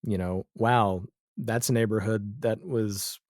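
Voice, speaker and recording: A man's voice: lowish voice, slow pace, no echo, clean studio sound. A man speaking as a podcast guest in a casual, reflective interview conversation, explaining his thoughts with examples. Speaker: podcast guest, audio drama creator.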